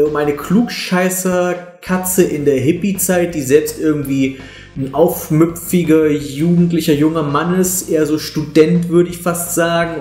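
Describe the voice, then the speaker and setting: A man's voice: on the high side, a little mellow. A man gives casual, opinionated film reviews in a conversational way. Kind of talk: casual spoken review to camera. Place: small living room, on a sofa. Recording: roomy indoor echo, music behind.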